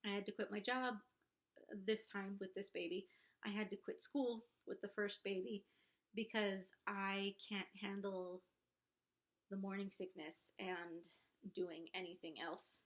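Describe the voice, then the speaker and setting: A young adult woman with a congested nose talks casually and candidly to camera as a vlogger, in a halting, self-conscious way. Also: mid-range voice, little pitch movement. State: congested, pregnant. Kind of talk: casual confessional talk to camera.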